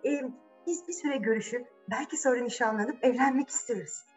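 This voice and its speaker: A woman's voice: a little high, fairly quick, darkish tone, far from the mic, highs cut off, faint music behind, voice pushed up, natural voice. A woman voicing an eager, coaxing young princess, a little sister, in a children's fairy tale. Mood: excited, hesitant.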